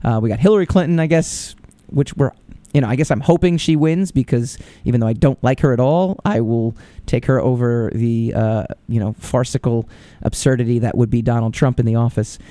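Male podcast host, rambling and opinionated, mellow tone, dry close-miked sound. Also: medium pitch, a little fast, dry recording. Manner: rambling, opinionated, many fillers and restarts. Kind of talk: casual commentary.

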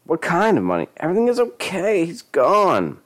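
Guy putting on a worried screechy lady in an audio story, high pitched, motherly and pleading. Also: wildly swooping pitch, normal speed. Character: anxious, motherly woman, screechy and distraught.

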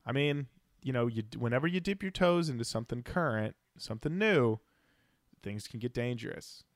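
A man's voice: mid-range voice, lively intonation, moderate pace, dry recording. Casual male podcast host, dry close sound. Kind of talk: casual podcast chat, reading out listener feedback.

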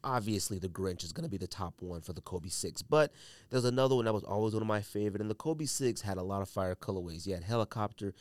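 A man's voice: fairly low pitch, no room sound. A man hosting a sneaker podcast, giving casual, opinionated commentary in an emphatic, slangy way.

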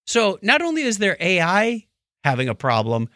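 A male podcast co-host with a lively, swooping delivery and a bright, forward voice. He chats casually, in a wry and joking way, with a touch of disbelief. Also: high voice, normal speed, no room sound, studio quality.

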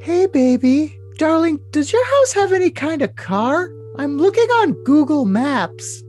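A man's voice: very high voice, big pitch swings, unhurried, a little mellow, faint music behind, full character voice. A man playing a girlish, clingy young woman in a cutesy, overly dramatic reading of text messages. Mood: pleading. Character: girlish, clingy, babyish young woman texting her boyfriend.

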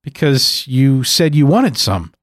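A man voicing a gentle, worried grandson talking with his ailing grandfather. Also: mid-range voice, sing-song, slow, no room sound, clean studio sound. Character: worried grandson at a hospital bed.

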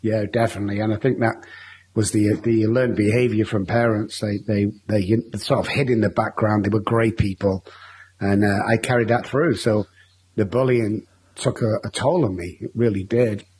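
A man's voice: lowish voice, steady tone, moderate pace, a little mellow, husky. A man with a Manchester accent, a guest telling his life story openly in a long, rambling interview answer. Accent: Mancunian English. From Manchester, England. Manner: candid, rambling storytelling with repeated restarts.

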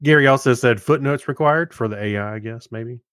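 A man with an average-pitched voice chats casually as a podcast co-host. His phrasing is loose and hesitant, often trailing off. The recording is dry, with little room sound. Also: brisk, fairly bright tone, smooth, dry recording. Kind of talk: casual chat.

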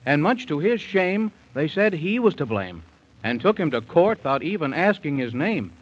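A man tells a bedtime tale in bouncy, theatrical rhyme. His voice is animated and slightly breathy. The sound is a dull, old 1930s radio recording. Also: on the high side, moderate pace. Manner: theatrical, folksy, sing-song rhymes. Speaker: storyteller narrator.

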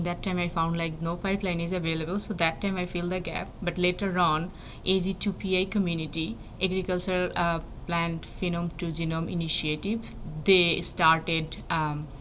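Bangladeshi grad student lady, lots of uhs, kinda low voice. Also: a bit bright. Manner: thoughtful, many fillers and restarts. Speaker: PhD student in plant breeding. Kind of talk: interview answers. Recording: faint background noise.

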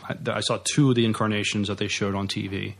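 A man co-hosting a podcast, chatting casually about news with halting, stammering starts. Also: on the low side, steady tone, creaky. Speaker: podcast co-host.

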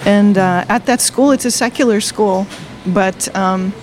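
A woman's voice: medium pitch, measured pace, darkish tone, a little hiss or hum. A woman in her sixties from Delaware, a retired teacher, telling her faith story cheerfully and laughing often in a coffee shop. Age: 60s. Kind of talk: personal testimony in conversation.

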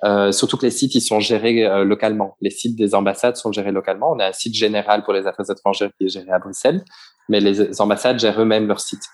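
Man, young adult, Belgian French accent, lowish voice, hesitant, explanatory. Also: fairly even intonation, fast. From Belgium, grew up in Flanders. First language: bilingual French and Dutch. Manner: explains at length with many hesitations and restarts. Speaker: embassy staff member, interviewee. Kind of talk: casual interview answers.

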